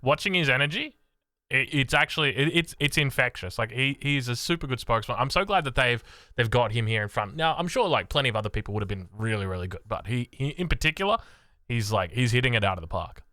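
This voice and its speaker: Excitable Australian man, bright lively voice. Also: medium pitch, fast talker, no echo, clean studio sound. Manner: excitable, interrupts himself, thinks aloud. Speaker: streamer reacting to a video. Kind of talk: casual reaction commentary.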